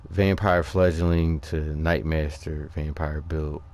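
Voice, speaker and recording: A man giving casual, unscripted gameplay commentary, haltingly thinking aloud as he explains things. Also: very deep voice, flat delivery, a little mellow. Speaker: gamer presenting a character build.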